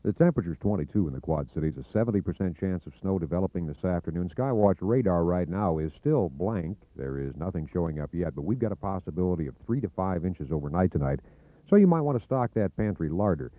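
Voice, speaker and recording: A man's voice: low voice, lively intonation, fast talker, no room sound. An American man hosting a live morning call-in show on the radio. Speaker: radio host. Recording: lo-fi narrowband AM radio aircheck.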